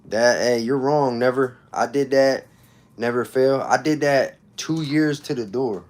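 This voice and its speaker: A man's voice: mid-range voice, a little slow, a little mellow. A man in his thirties hosting a casual livestream, telling personal stories in informal street slang and repeating himself for emphasis. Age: 30s. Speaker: livestream host.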